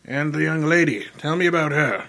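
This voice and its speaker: An actor playing a brusque, skeptical private detective who questions with authority in an old-time radio mystery. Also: male voice, a little high, animated, gravelly, pushed and bright, full character voice. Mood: probing, skeptical. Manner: brisk, commanding, skeptical.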